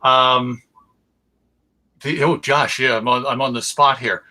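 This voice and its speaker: Bright-voiced American man presenting. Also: mid-range voice, fairly even intonation, slow. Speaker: presenter of a radio history talk. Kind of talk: informal lecture to an online audience.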